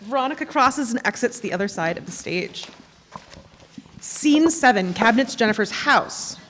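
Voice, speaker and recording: A woman's voice: on the high side, animated, moderate pace, a bit bright, a little hiss or hum. Woman narrator in an echoey hall. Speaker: stage directions reader. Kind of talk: scripted narration at live staged reading. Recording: echoey theatre hall, live stage.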